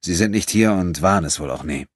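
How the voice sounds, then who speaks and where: A man's voice: deep voice, fairly quick, husky, no room sound, studio quality. A man voicing a wry, conciliatory adventurer in an audiobook, earnest and resigned.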